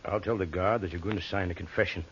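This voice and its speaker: A man playing an earnest, deliberate townsman in an old radio western drama. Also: sits low, steady tone, a bit bright. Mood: conspiratorial. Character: earnest townsman scheming to help the hero. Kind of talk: 1940s radio western acting. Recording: muffled old radio recording with hiss.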